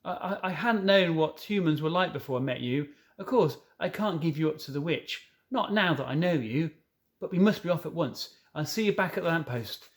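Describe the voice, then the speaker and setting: Guy doing a nervous weepy fawn from a storybook, stammery and pleading, highish voice. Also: animated, moderate pace, natural voice. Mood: flustered, resolved. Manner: stammering, pleading, self-reproaching. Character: anxious, guilt-ridden, tearful male fawn of storybook fantasy.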